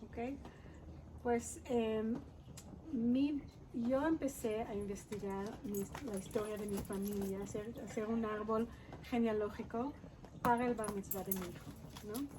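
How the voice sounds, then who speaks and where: Israeli-accented woman author, slightly high, halting delivery, noisy recording. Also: fairly even intonation. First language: Hebrew. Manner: halting, searching for words in Spanish. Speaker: author guest. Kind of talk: conversational interview. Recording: noisy background.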